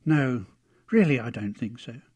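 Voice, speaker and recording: A man's voice: medium pitch, animated, measured pace, darkish tone, slightly rough. A man voicing a kindly, chatty café lady in a story, with a familiar, homely manner. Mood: kindly, brisk. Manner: familiar, calls the customer love.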